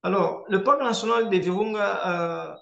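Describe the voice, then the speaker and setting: A man from eastern Congo, a Swahili speaker, giving a measured online presentation as a conservationist with frequent hesitation fillers. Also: sits high, vocal fry, sharp tone, lo-fi sound. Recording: roomy echo.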